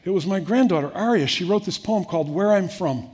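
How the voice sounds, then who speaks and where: Older guy preaching, slightly high husky voice, gets loud then goes quiet. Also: lively intonation, fairly quick. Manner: earnest, builds to emphatic peaks then drops soft. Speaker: pastor. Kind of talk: sermon.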